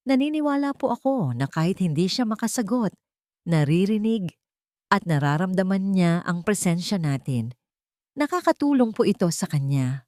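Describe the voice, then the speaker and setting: Woman doing a young filipina nurse in a love story, earnest n nervous, soft polite, mellow. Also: medium pitch, big pitch swings, moderate pace, no room sound, clean studio sound, close to an everyday voice. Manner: polite, hushed.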